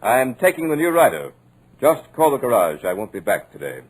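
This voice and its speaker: An actor playing a smooth, commanding radio producer with a sinister edge, in a 1940s radio drama. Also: male voice, medium pitch, sing-song, a little fast. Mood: brisk. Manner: suave, commanding.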